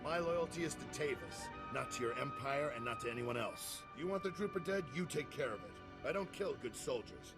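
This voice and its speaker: An actor voicing a defiant veteran soldier turned traitor in a video game, wry, blunt and self-assured, with gravel in the voice. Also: male voice, medium pitch, lively intonation, moderate pace, few pauses, loud music behind the voice, lightly acted. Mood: dismissive. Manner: cocky, sardonic, blunt.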